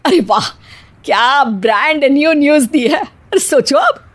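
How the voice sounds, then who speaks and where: A woman plays a bossy Indian mother-in-law for a Hindi cartoon tale. She speaks in a high voice, scolding and exclaiming with sarcasm. Underneath the bluster she is easily frightened. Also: lively intonation, measured pace. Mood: sarcastic scolding. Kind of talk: Hindi cartoon story voice acting.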